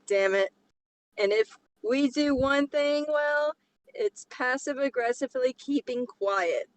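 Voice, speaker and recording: Slow-paced, higher-voiced woman reading stories. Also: poor audio. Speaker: co-host reading online stories aloud. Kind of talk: story reading with casual banter.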